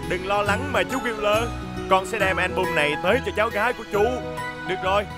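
A man's voice: high voice, fairly quick, lightly acted. A man voicing an obliging, reassuring young courier vehicle in a dubbed children's cartoon. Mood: reassuring, hurried. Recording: loud jingly music behind the voice. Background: loud jingly music.

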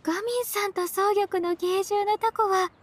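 A woman's voice: very high-pitched, flat delivery, moderate pace. A woman voicing an earnest, polite young woman, a devoted disciple in a Japanese video game, with formal, careful phrasing. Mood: admiring.

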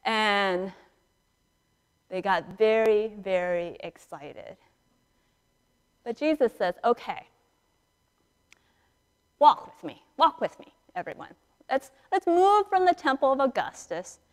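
A middle-aged woman priest giving a conversational storytelling sermon in a large church, acting out dialogue with dramatic pauses. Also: mid-range voice, lively intonation. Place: large church sanctuary, indoors, standing and moving before the altar. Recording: reverberant church hall, picked up in a large space.

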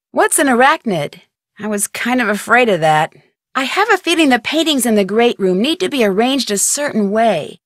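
A woman's voice: fairly high pitch, no echo, close to an everyday voice. A woman voicing a young amateur detective in a studio video game, talking in a chatty, curious way and often asking for hints. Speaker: game protagonist.

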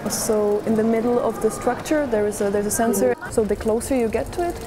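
A woman artist explaining her light installation in a thoughtful, halting way. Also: fairly high pitch, fairly even intonation, noisy background. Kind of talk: explaining an artwork.

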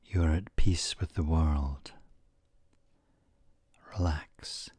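A man guiding a meditation in a soothing, measured way, with pauses between short phrases and a soft, breathy voice that trails toward a whisper. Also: rumbling low, fairly even intonation, no room sound. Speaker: meditation guide. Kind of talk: guided meditation narration.

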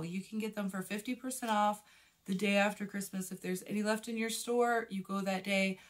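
Slightly hoarse woman chatting about a haul. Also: mid-range voice. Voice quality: a little husky. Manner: friendly and chatty, holding up items as she talks. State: slightly hoarse after illness. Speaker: vlogger host. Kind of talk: casual shopping haul chat. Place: small indoor room in front of a plain wall. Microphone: phone camera with a clip or shotgun mic at close range.

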